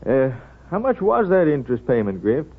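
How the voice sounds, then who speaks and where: An actor playing a wise, sly small-town doctor in a 1930s radio drama, with folksy hems and haws. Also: male voice, medium pitch, sing-song, normal speed, slightly rough. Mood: sly, kindly. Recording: tinny, narrow 1930s radio broadcast.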